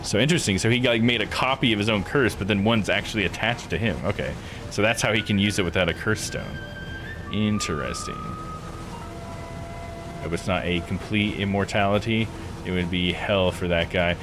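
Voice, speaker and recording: Male game streamer: low voice, casual read-aloud narration and chat, faint game music behind. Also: normal speed. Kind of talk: visual novel playthrough, narration and casual chat.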